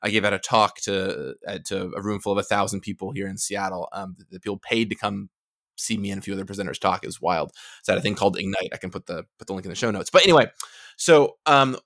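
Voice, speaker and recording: A man's voice: low voice, fast talker, fairly bright tone, dry recording, clean studio sound. A man in his thirties, a podcast guest telling stories in a chatty, joking way. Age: 30s. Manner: chatty, jokey storyteller. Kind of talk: casual chat. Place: Seattle.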